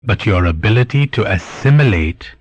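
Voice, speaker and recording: A man narrating a scripted spiritual meditation in a measured, deliberate, instructive way, pausing between phrases. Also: fairly low pitch, animated, slightly rough, dull audio.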